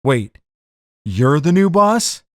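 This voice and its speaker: A man acts out a hapless, dim husband in a hardboiled gangster radio comedy. His delivery is whiny and bewildered, with very lively pitch swings. The recording is dry and close. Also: slow, no echo. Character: slow-witted, hapless, indignant husband caught among gangsters. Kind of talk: hardboiled comedic gangster audio drama acting.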